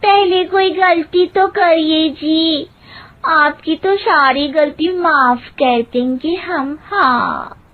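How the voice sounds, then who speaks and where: Lady doing an innocent village daughter-in-law, really high n super slow, earnest cartoon talk, tinny audio. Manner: innocent, literal-minded, earnest. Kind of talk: Hindi moral-story cartoon dialogue. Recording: muffled, narrow phone-like sound.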